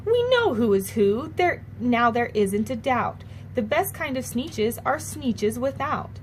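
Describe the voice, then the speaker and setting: Woman putting on a high, indignant snooty creature. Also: very animated, takes it slowly, a little hiss or hum. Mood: haughty. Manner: emphatic, declaring loudly. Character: boastful indignant snooty bird-like Sneetch creatures. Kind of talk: children's storybook character voice.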